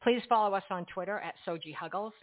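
A woman hosting a radio talk show and reading her sign-off in a polished, gently emphatic way. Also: mid-range voice, quick pace, dry recording, narrow, tinny audio.

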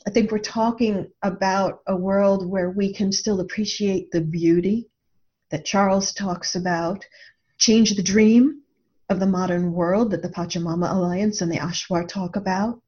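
A woman talks thoughtfully in a reflective discussion, putting questions to the listener. Her tone is mellow and rather husky, at a middling pitch. The recording is a little dull. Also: steady tone, unhurried. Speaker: radio show co-host and author.

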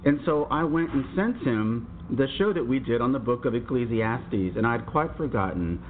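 A man's voice: mid-range voice, animated, moderate pace, a little hiss or hum. A middle-aged man hosting a casual podcast, talking easily with fillers and restarts. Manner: easygoing, chatty with fillers and restarts. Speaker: podcast host. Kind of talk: casual co-hosted podcast chat. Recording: tinny phone-like sound with faint music.

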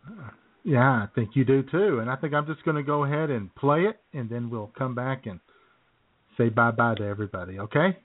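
A man's voice: medium pitch, expressive, a little fast. A man hosting a radio show, chatting casually. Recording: tinny phone-like lo-fi audio.

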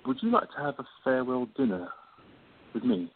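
A man's voice: mid-range voice, huge pitch swings, fairly quick, a little hiss or hum. A man playing a joking, boyish friend who is awkward and nervous in love, in an amateur radio play. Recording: thin phone-like line.

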